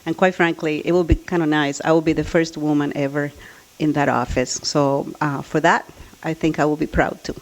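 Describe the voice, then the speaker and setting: A middle-aged woman from the Dominican Republic, a native Spanish speaker with a Dominican Spanish accent, addressing an audience in a measured, earnest way with deliberate pauses. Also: low voice, fairly even intonation, poor audio. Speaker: college administrator and job candidate. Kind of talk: public address and candidate question-and-answer.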